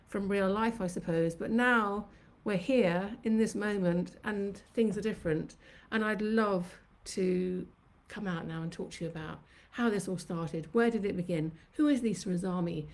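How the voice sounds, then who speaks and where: A woman's voice: medium pitch, moderate pace, few pauses. A woman in her fifties, from Sri Lanka, hosting her own live stream from her kitchen and telling her life story in a chatty, reflective way. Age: 50s. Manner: chatty and reflective, reminiscing at length. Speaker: host of her own channel. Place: home kitchen.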